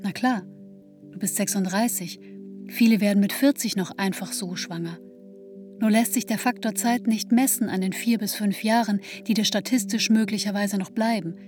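An actress playing an introspective woman in her thirties, narrating a literary radio play in a measured, reflective way. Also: mid-range voice, fairly even intonation, darkish tone, natural voice. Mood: reflective, tense. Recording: music under the voice. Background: musical instruments.

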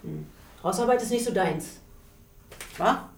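A woman playing a stern but quietly sympathetic prison warden in a radio drama. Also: mid-range voice, animated, unhurried, creaky. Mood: dry, probing. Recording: roomy sound, faint noise.

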